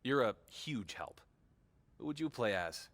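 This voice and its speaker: A man's voice: mid-range voice, expressive, measured pace, a bit bright, big-room sound. An actor playing a defensive, sarcastic wrestling champion in a video game, a man with a wry, casual way of talking. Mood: exasperated. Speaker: wrestling champion and show co-host. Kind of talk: scripted video game cutscene acting.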